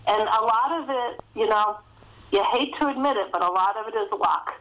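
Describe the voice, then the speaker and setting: A woman's voice: on the high side, normal speed, a bit bright, rough recording. A middle-aged woman talking conversationally as an author in an interview, chatty and anecdotal, often saying "you know".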